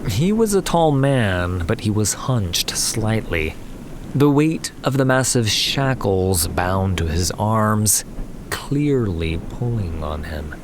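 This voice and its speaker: A man narrating a fantasy story as an audio drama, with measured, deliberate, dramatic phrasing. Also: lowish voice, very animated, background noise. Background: prominent background music.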